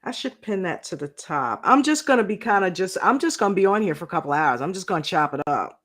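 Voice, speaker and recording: A woman casually reviewing a reality show on a livestream, slangy and animated, acting out quotes in a mocking tone. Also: lowish voice, quick pace. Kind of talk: casual reaction livestream chat.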